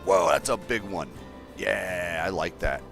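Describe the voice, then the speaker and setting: A man with a deep voice casually chatting to his viewers as he builds in a game livestream, thinking aloud in an easygoing way. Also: measured pace, creaky, music in the background. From Colorado, USA. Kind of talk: casual gameplay livestream chat.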